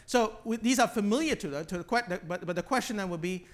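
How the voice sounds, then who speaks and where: A man from Malaysia teaching a class informally, halting and repeating words, often checking in with "right?". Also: sits high. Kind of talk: informal teaching with questions from the group.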